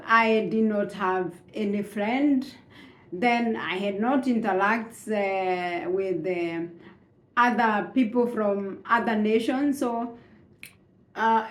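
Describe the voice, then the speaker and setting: A woman's voice: a little high, echoey room. A Kenyan woman whose first language is Swahili, telling her own story in a hesitant way with many fillers and restarts. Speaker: immigrant sharing her story. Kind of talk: slow reflective personal account.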